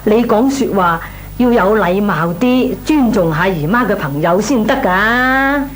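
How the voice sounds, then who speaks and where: An actress playing a well-meaning, exasperated middle-aged aunt in a Cantonese radio comedy, sighing and coaxing, with a Hong Kong Cantonese accent. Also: female voice, medium pitch, animated, unhurried, faint music behind, close to an everyday voice. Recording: noisy old radio recording.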